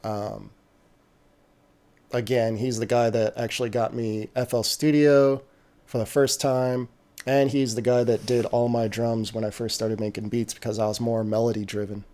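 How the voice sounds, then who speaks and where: A man's voice: mid-range voice, moderate pace. Easygoing man hosting a beat stream. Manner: relaxed, many fillers and restarts. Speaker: music producer hosting a beat critique livestream. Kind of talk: casual livestream commentary. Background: submitted beats playing.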